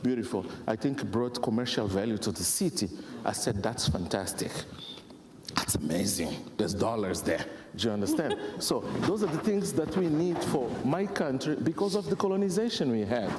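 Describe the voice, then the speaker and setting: Animated Mozambican musician in an echoey hall. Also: male voice, medium pitch, normal speed. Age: young adult. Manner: animated, repeatedly asks if listeners follow. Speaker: panelist, festival founder and musician. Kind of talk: panel discussion. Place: conference hall. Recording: echoey hall with faint noise.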